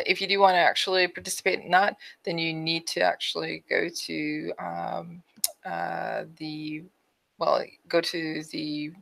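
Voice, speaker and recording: A woman's voice: on the low side, vocal fry, a bit bright, no echo. A woman with a Canadian accent co-hosting a podcast, chatting casually and reading out announcements. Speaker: podcast co-host.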